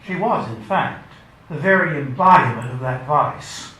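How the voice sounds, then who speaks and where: A man giving a measured, theatrical and wry reading of literary fiction at a live event. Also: on the high side, expressive, sharp tone. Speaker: author reading aloud. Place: indoor launch event before an audience. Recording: roomy live event, faint noise.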